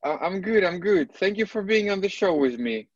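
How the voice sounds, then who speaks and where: A man's voice: sits high, lively intonation, moderate pace, dry recording, dull audio. A man from Ukraine hosting an interview, speaking hesitantly with many fillers. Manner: hesitant, many uhs, friendly. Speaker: host. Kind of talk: casual interview chat.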